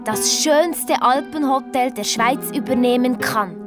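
Eager, high-voiced Swiss girl detective. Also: a little slow, music in the background. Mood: determined. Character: eager, bossy young girl detective, hotelier's daughter. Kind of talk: children's radio play, lively first-person storytelling.

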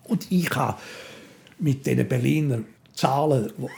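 Older Swiss German man, slightly gravelly storyteller. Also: medium pitch, measured pace, darkish tone, a little hiss or hum. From Switzerland. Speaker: interview guest. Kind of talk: casual anecdotal storytelling. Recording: music behind the voice.

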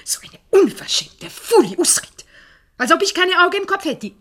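An actress with a Swiss German accent playing an indignant, jealous, melodramatic wife in a radio comedy. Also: female voice, very high voice, very animated, fairly bright tone, heavily acted. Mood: outraged, accusing. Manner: indignant, theatrical, quick to take offence. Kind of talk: 1970s radio comedy acting.

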